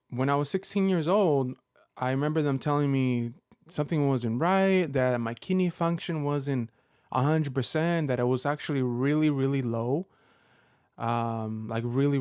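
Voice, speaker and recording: A man in his thirties talks straight to his viewers in a casual vlog, stopping and restarting often with lots of fillers. His voice is smooth and resonant, at an ordinary pitch. It is recorded close up and dry, with no room sound. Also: a little mellow, no room sound. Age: 30s. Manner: halting, many fillers and restarts. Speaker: Pokemon content creator. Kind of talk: personal vlog update.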